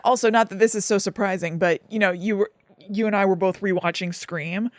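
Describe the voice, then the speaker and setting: A woman's voice: medium pitch, fairly even intonation, fairly quick, dry recording. A woman co-hosting a casual film discussion podcast, chatty, agreeable and playful. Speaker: podcast co-host.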